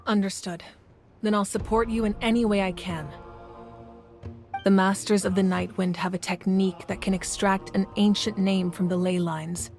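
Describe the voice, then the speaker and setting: A woman voicing a composed, commanding female ruler in a game, speaking in a measured, grave, deliberate way. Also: mid-range voice, a little mellow, lightly acted. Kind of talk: game voice acting. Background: game music.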